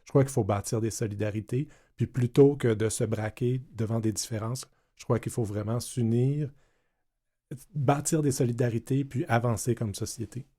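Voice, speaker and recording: A man, speaking in a measured, soft-spoken way as a panel guest. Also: mid-range voice. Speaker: community center director, panel guest. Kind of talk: round table discussion.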